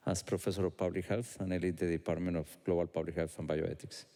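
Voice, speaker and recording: A man's voice: sits low, little pitch movement, fast talker, mellow. A man from Colombia, a professor of public health, introducing himself matter-of-factly.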